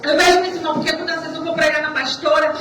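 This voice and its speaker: Brazilian female church preacher, earnest, repetitive, halting exhortation; recorded far from the mic with clear background noise. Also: high-pitched, flat delivery. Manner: earnest, repetitive, stammering delivery. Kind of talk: testimony sermon, exhortation. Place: church service indoors, distant microphone. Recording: echoey room, far mic, noisy.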